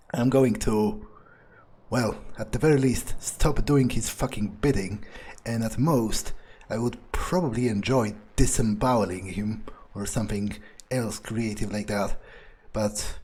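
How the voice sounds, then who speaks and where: A man's voice: medium pitch, mellow, slightly rough. A man improvising an in-character monologue for a game playthrough, musing hesitantly and sardonically, with some swearing. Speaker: gameplay video player speaking in character.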